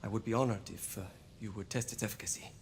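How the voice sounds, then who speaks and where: A man playing a proud, eccentric Victorian inventor in a video game, speaking politely and precisely with gentle hesitations. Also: lowish voice, fairly even intonation, fast talker, noisy background, lightly acted. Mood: proud, eager. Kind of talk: video game cutscene acting.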